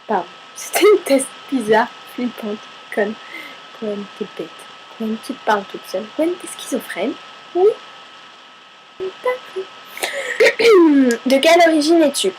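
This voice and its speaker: A woman's voice: on the high side, expressive, unhurried, long pauses, noisy background. A woman from Paris chatting casually to camera as she answers viewer questions, chatty and self-mocking, swearing casually and arguing back and forth with herself. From Paris, France. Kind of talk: casual FAQ chat to camera.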